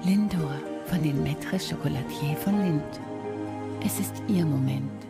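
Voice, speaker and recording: Low, slow female advert voice. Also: creaky, loud music behind the voice. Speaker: advert voice-over. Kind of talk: slow luxury commercial narration.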